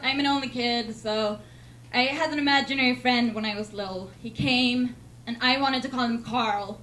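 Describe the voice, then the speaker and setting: A young woman from Sweden with a Swedish accent, doing a deadpan, self-mocking stand-up set in a comedy club. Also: a little high, moderate pace. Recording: noisy club recording.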